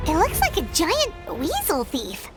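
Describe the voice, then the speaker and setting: A woman voicing a tiny, childlike, excitable and easily alarmed female game companion in a squeaky, chirpy voice. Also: measured pace, loud music behind the voice, exaggerated voice. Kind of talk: video game voice acting.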